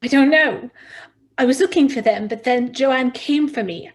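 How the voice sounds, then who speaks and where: Woman acting a shaken survivor, highish voice. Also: moderate pace, close to an everyday voice. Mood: shaken, defensive. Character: shaken, bewildered woman survivor of a violent attack. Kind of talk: table read of a scripted audio drama.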